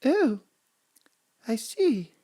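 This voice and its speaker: High, swooping melodramatic lady lover. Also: very animated, extremely slow, mellow, slightly rough, heavily acted. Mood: flustered, pained. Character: flustered melodramatic woman meeting her secret lover. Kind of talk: parody of old romantic drama.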